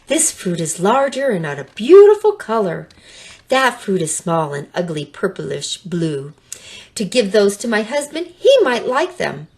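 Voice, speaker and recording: A woman's voice: mid-range voice, big pitch swings, unhurried, darkish tone. A woman voicing a curious, stubborn and haughty woman in a children's fable, explaining and dismissing.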